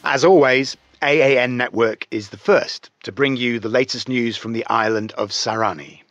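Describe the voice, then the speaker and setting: A man's voice: medium pitch, normal speed, a bit bright, no echo, faint music behind, lightly acted. A man playing a polished, self-important television news anchor reading a scripted bulletin in a game. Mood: brisk, official. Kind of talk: scripted TV newscast. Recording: dry, slightly dull studio sound.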